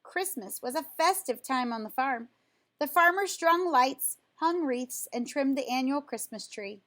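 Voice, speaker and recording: A woman reading a children's storybook aloud in an expressive, emphatic storytelling way. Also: high voice, a little slow. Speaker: storybook reader.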